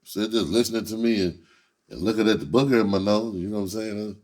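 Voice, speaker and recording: A man's voice: lowish voice, quick pace, a little mellow. A man talking casually and candidly in a blunt, unfiltered, profane manner. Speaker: entertainer, rapper and writer.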